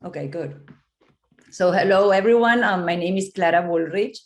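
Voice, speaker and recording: A woman's voice: fairly low pitch, moderate pace, roomy sound. Woman from Argentina on a video call. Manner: explanatory, steady with fillers. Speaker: panelist, investment partner. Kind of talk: conference panel remarks. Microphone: joining remotely by video call.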